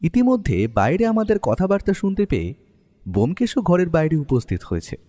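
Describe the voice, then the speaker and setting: A man narrating as a lively writer-companion. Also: a little high, brisk. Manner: lively, expressive storytelling. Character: the detective's male companion and writer, first-person narrator. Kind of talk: storytelling narration in an audio drama. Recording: long reverb over soft music.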